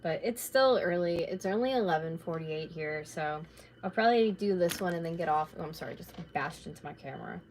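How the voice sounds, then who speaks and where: A woman in her late twenties, slightly raspy from talking, chatting casually and rambling while she crafts at home on a live stream. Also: on the low side, a little fast, a little hiss or hum, low-quality recording. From North America. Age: late 20s. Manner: rambling, chatty, laid-back with filler words.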